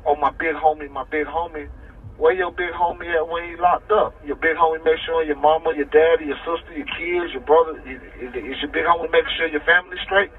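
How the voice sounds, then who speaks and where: A man's voice: a little high, fairly even intonation, a little fast. A man being interviewed over a prison phone call, talking casually in informal street slang and often checking that he is followed. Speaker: interview guest calling from prison. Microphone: telephone. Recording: thin prison phone line, noisy, distant.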